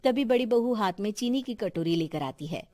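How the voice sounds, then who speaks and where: A woman's voice: mid-range voice, expressive, moderate pace, warm tone, dry recording. A woman giving scripted narration for a family story.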